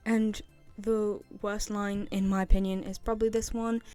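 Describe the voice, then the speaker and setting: A woman's voice: mid-range voice, level tone, normal speed, mellow, faint music behind. A young woman giving casual, opinionated commentary in a chatty, emphatic way. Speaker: video host, game fan.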